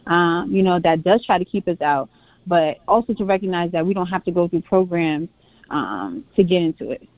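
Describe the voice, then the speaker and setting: A woman's voice: on the low side, lively intonation, moderate pace, dry recording, muffled recording. A woman speaking as an interview guest and teacher, casual but earnest, stressing and repeating her points.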